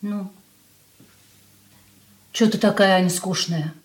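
An actress playing a discontented, proud married daughter who advises and prods in a knowing, aggrieved way in a Soviet radio play. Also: female voice, mid-range voice, fairly even intonation, slow pace, natural voice. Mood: uneasy.

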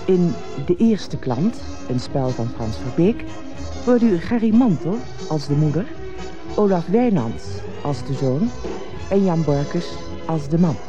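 Slow radio announcer over music. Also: mid-range voice, animated, lo-fi sound. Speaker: credits announcer. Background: clear music.

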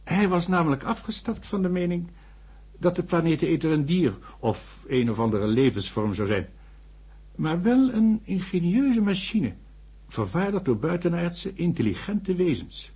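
Male doctor narrator, slightly husky, thoughtful, animated intonation, science fiction radio play. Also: sits high, moderate pace, exaggerated voice. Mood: reflective, confiding. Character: male doctor narrating, earnest, sceptical but sympathetic. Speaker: narrator and character.

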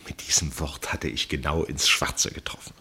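A cultivated gentleman narrating a radio drama. His voice sits very low with a husky grain. He speaks in measured phrases, with dry irony and an air of detachment. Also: steady tone, in a whisper, full character voice. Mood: wry, measured. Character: wry, detached gentleman narrator and amateur chess player. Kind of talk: German radio play narration and dialogue.

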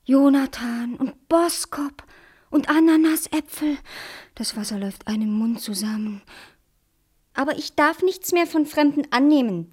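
An actress playing a wary, stubborn young princess in a fairy-tale radio play, pert and suspicious in manner. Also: female voice, high voice, breathy edge. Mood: indignant, tempted.

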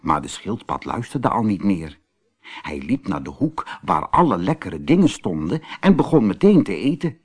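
A man's voice: sits low, slightly rough. A man reading a children's fairy tale expressively and without hurry, as a storyteller. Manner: expressive, unhurried read-aloud.

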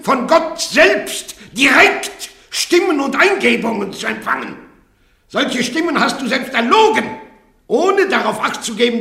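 A man's voice: extremely high pitch, sharp tone, roomy sound, exaggerated voice. An actor playing a solemn church judge who admonishes and threatens an accused woman in a German radio play. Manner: solemn, admonishing, grows heated. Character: stern churchly prosecutor interrogating a heretic. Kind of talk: 1950s-60s German radio drama.

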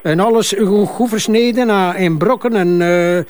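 An actor playing a defensive older Flemish father and company boss in a crime drama, halting, stammering and repeating himself. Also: high voice, a little mellow, natural voice. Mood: flustered, insistent. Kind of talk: naturalistic TV crime drama dialogue.

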